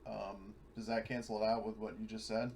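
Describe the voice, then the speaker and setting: A man's voice: on the low side, little pitch movement, a little fast, gravelly, darkish tone, a little hiss or hum. A man playing a tabletop game, chatting casually with the group about his moves and rules.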